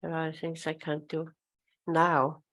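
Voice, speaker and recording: Older woman elder, Oji-Cree first language; gravelly, slow, flat delivery on a handheld mic. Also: deep voice. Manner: slow, hesitant, many pauses and throat clearing. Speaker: elder speaker. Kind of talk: informal talk at a gathering. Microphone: handheld microphone.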